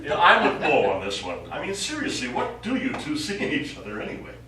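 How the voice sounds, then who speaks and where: An actor playing a nonchalant, wry astronaut in a stage play. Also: male voice, on the high side, huge pitch swings, a little fast, a loud crowd. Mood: teasing. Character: nonchalant, wry male astronaut tending the ship. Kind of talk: stage acting. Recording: echoey distant stage recording, faint noise.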